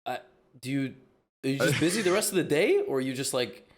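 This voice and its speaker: A man's voice: medium pitch, brisk. A man hosting a casual podcast. He talks easily, with lots of fillers and restarted sentences. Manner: relaxed, filler-heavy, stumbling restarts. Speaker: podcast host.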